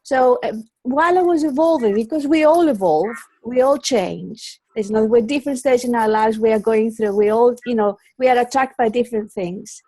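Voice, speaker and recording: A middle-aged woman with a Greek accent, a native Greek speaker, telling her own story as an interview guest in an expressive, wandering way with repeated words. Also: fairly high pitch, moderate pace, dry recording, low-quality recording. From Greece. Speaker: interview guest, colour psychologist and teacher.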